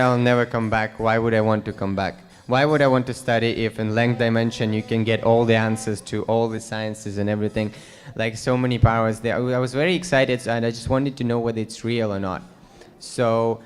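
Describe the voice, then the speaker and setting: A young man from Russia, sincerely recounting his life as an interview guest, halting with fillers. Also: on the low side, smooth. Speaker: guest, new monk. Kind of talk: earnest personal account. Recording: long hall reverb.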